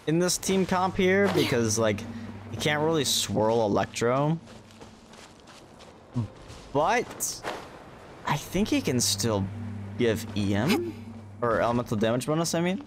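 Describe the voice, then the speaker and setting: A man's voice: mid-range voice, big pitch swings, unhurried, long pauses, noisy background. A tired man giving casual livestream commentary on a game, laid-back, with sarcastic asides and mild swearing. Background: game music.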